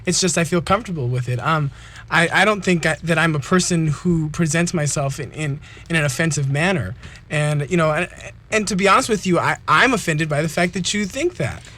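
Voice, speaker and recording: Radio guest, man, higher voice, earnest and measured. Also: pushed and bright. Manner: earnest, measured, defending himself. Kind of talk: radio studio guest discussion. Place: radio studio.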